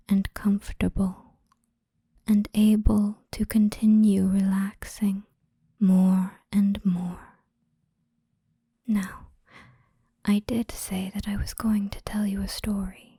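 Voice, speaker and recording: A woman guiding a hypnosis relaxation in a soothing, gentle, breathy whisper, unhurried and with frequent pauses, close to the microphone. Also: medium pitch, dry recording. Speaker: hypnosis guide. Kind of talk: whispered ASMR guided relaxation storytelling.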